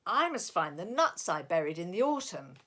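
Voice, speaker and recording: A woman's voice: on the low side, very animated, normal speed, a bit bright. A woman voicing a female squirrel in a storybook, friendly and practical with a chiding manner.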